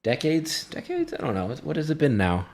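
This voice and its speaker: A man's voice: fairly high pitch, huge pitch swings, fairly quick, a little mellow, voice pushed up. A man voicing an irritated undead male dwarf who guards his crypt home, exasperated and casual, in a tabletop game. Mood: vague, musing.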